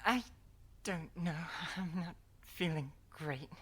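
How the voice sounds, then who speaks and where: A woman playing a small, sickly young character who stammers softly and haltingly. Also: fairly low pitch, slightly rough, heavily acted. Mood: frightened. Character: small, sickly young person, anxious and fearful. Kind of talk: audio drama acting.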